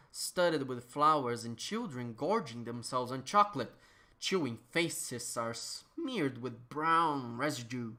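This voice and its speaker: A person giving a dramatic reading, theatrical and declamatory, with emphatic outbursts and drawn-out words. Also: mid-range voice, fairly bright tone. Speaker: reader. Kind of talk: dramatic reading of absurd written text.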